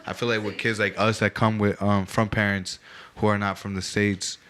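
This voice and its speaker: A man's voice: on the low side, little pitch movement, normal speed, fairly bright tone, smooth. A young man co-hosting a podcast, chatting in an easygoing, slangy way. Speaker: podcast host. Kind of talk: casual chat.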